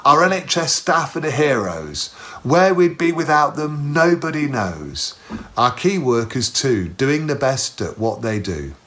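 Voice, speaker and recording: A man reciting spoken-word poetry with a measured rhyming cadence. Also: medium pitch, sing-song, a bit bright. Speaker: poetry performer.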